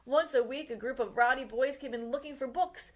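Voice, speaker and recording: Brief: female librarian, fairly high voice, animated children's story reader. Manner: expressive storytime reading, slowing on exciting moments. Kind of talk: children's read-aloud of a picture book biography.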